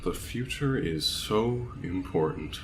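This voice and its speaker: A man acts out a bitter, scheming tech villain for an audio drama. His voice sits low and turns from muttered plotting to falsely obliging talk, in an echoey, noisy space with long reverb. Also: expressive, takes it slowly. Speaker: voice actor. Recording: echoey, reverberant room with clear noise.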